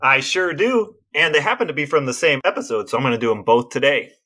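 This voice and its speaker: A man's voice: mid-range voice, animated, quick pace, bright, forceful tone. A man co-hosting a podcast, explaining things precisely and playfully in conversational banter. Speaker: podcast co-host.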